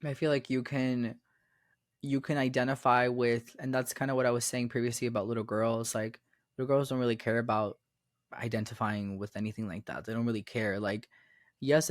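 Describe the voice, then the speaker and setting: Young man, podcast guest, rambling, filler-heavy, mid pitch, dry room. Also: flat delivery, brisk, no echo. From Florida, raised in Miami. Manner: rambling, repeats phrases, many fillers. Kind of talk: casual conversation.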